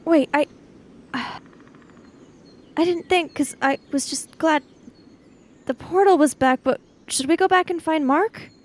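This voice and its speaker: A woman's voice: high-pitched, slow, long pauses. A woman improvising in a casual tabletop role-playing game, switching between speaking as her character and narrating, sometimes hesitantly.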